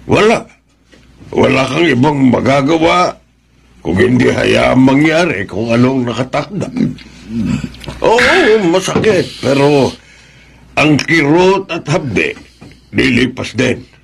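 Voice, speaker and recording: A man's voice: mid-range voice, lively intonation, vocal fry, breathy edge, heavily acted. A Filipino man playing a middle-aged, worldly-wise uncle in a radio drama, consoling and philosophical as he gives advice. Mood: consoling, resigned. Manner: measured, philosophical, advising. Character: consoling, worldly-wise uncle who has known heartbreak.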